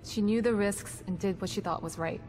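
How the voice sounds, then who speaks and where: An actress playing a composed, caring and brave team psychologist in a video game, explaining things in a reassuring manner. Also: female voice, medium pitch, a little fast, a little mellow, lightly acted. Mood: consoling. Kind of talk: dramatic video game acting. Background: music.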